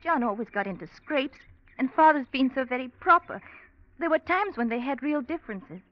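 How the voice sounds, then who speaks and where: High-voiced young romantic heroine, vintage radio. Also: female voice, animated, normal speed. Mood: worried. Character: gentle, anxious young well-to-do daughter in love. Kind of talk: old-time radio drama acting. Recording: muffled vintage radio, faint music.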